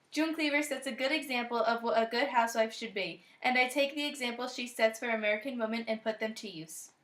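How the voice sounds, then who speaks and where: A woman's voice: a little high, a bit bright, lightly acted. A young woman playing a gracious 1950s sitcom actress and devoted housewife in an earnest, rehearsed mock television interview. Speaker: interview guest. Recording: echoey room, student recording.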